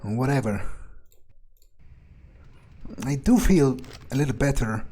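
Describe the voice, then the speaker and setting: Man playing a slow-talking, cocky fledgling vampire. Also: mid-range voice, lively intonation, warm tone, faint music behind. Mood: cocky, curious. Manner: musing, arrogant, profane, hesitant pondering. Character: cocky, bloodthirsty newly turned male vampire, musing to himself. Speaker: player character in a roleplay playthrough. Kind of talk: in-character video game playthrough commentary.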